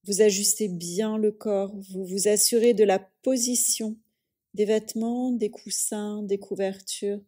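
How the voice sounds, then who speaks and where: Woman, yoga teacher, guided meditation, very unhurried pace, soft dark timbre, calm, soothing, long pauses. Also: medium pitch.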